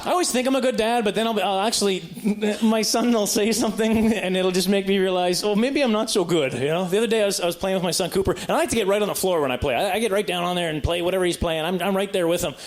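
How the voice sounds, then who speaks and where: A man's voice: sits high, fast, hardly any pauses. A man doing conversational stand-up comedy, rambling and stumbling over words as he tells stories in a live recording. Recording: live stand-up recording with clear background noise.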